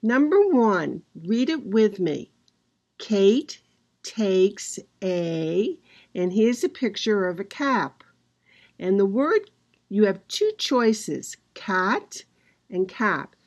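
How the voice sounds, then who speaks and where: A woman teacher guiding children through a worksheet with patient, drawn-out instructions. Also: mid-range voice, very animated, darkish tone.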